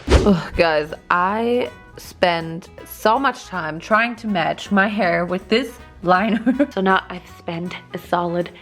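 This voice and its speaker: A young woman, chatting in a hotel room late at night, giggly and tired, her voice worn low. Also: slow pace, a bit bright, soft background music. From Germany. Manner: giggly, chatty, laughs often. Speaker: vlogger. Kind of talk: casual late-night vlog.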